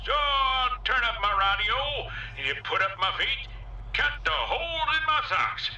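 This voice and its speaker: Echoey, high-voiced lazy slacker. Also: male voice, sing-song, slow pace, sharp tone, heavily acted. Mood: smug, lazy. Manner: folksy, drawling, chuckling, self-satisfied. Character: lazy, chuckling male factory slacker, folksy and defiant. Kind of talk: game audio log monologue. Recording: distant, echoing, noisy old audio log.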